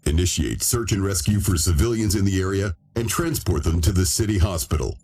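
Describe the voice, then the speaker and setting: A military dispatcher voiced by a man barks clipped orders in a video game cutscene. His voice sits very low. Faint music plays behind him. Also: a little fast, mellow, natural voice. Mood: commanding.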